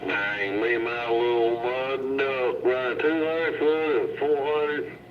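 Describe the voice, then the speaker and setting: Slow-talking man over muffled CB radio. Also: medium pitch, fairly even intonation, over music. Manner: folksy, self-deprecating. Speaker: CB radio operator. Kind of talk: casual CB radio chat. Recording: dull CB radio transmission, faint noise.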